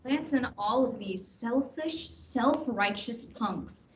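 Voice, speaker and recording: A woman's voice: fairly high pitch, lively intonation, takes it slowly. A woman playing a bitter, scornful murderer in a radio play. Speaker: radio drama actor. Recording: echoey, distant, dull, faint noise.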